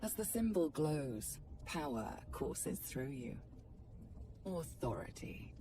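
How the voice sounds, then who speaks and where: The solemn narrator of a fantasy video game. Her voice is extremely low, measured and dramatic, with an ominous air. Music plays behind her. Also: very animated, full character voice. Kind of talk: scripted second-person game narration.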